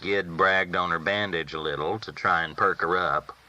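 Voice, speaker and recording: A man's voice: deep voice, fairly even intonation, normal speed, bright, forceful tone. A man reading a first-person novel aloud as a folksy storyteller. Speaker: audiobook reader.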